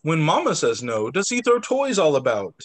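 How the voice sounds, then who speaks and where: Young male teacher, higher-pitched voice, very animated picture-book read-aloud for little kids. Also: normal speed. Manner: expressive, doing voices for dialogue, with short asides. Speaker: teacher reading to young children. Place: indoors, on a video call.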